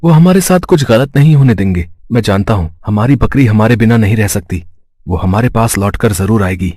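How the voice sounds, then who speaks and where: Man playing a husky, gentle village husband. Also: fairly low pitch, animated, a little fast, dry recording, studio quality. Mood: reassuring. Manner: gentle, earnest. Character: kind, poor village husband, tender and trusting.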